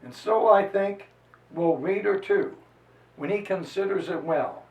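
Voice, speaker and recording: A man giving a solemn, theatrical dramatized reading of a historical narrative, declaiming like a preacher with dramatic pauses and swells. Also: high-pitched, narrow, tinny audio. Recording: roomy echo, distant microphone.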